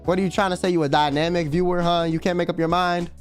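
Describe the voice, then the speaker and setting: A man's voice: sits high, level tone, fast talker. A young man streaming a casual late-night chat, rambling in slang and reading donations aloud. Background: faint background music.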